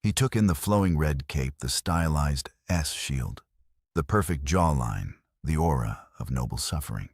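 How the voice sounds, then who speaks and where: A man's voice: a bass voice, animated, normal speed, breathy edge, no room sound, studio quality. A man giving a solemn, scripted dramatic narration of a fiction story. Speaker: audio novel narrator.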